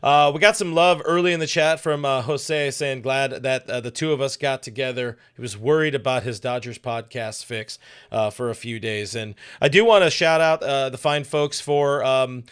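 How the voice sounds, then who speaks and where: A man's voice: medium pitch, fairly even intonation, normal speed, a bit bright, clear, resonant tone. A man co-hosting a sports podcast in casual, joking chat, with lots of filler words as he talks. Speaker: podcast co-host.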